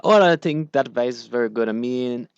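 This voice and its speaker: A man's voice: mid-range voice, expressive, brisk, gravelly, smooth, dry recording, dull audio. Male studio guest, dry close-up radio sound. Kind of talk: live studio discussion.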